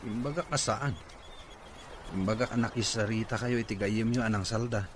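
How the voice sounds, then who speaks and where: A man's voice: lowish voice, fairly even intonation, moderate pace, close to an everyday voice. A man with a Filipino accent playing a practical farming man in a conversational audio drama. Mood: matter-of-fact. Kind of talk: conversational Ilocano radio drama acting. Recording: clear background noise.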